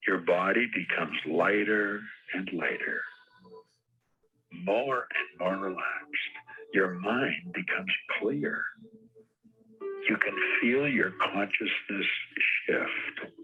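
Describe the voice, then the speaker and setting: A man's voice: low voice, takes it slowly, bright, forceful tone, dull audio, soft background music. A man leading a guided meditation, speaking in a soothing, repetitive, hypnotic way.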